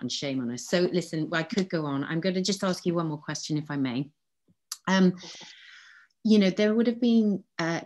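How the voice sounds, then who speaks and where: A woman hosts the interview, chatty and enthusiastic as she affirms her guest. Her voice is low. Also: darkish tone. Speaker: interview host. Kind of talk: interview conversation.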